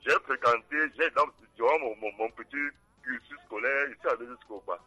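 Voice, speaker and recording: Man in his forties, caller, amused storyteller, thin sharp phone-line voice, dry close sound. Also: medium pitch, a little fast, no room sound, soft background music. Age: 40s. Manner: laughing storyteller.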